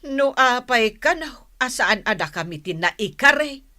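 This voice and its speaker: A woman's voice: on the high side, animated, unhurried, bright, forceful tone. A woman playing a huffy older village mother who sells vegetables in an Ilocano radio comedy drama, grumbling and scolding with an Ilocano accent. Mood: annoyed.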